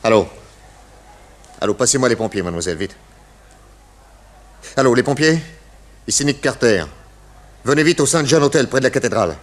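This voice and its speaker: A man's voice: mid-range voice, animated, a little fast, pauses often, a little hiss or hum, lightly acted. A man playing a shrewd, authoritative detective with clipped, assured delivery in a French radio drama. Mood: urgent.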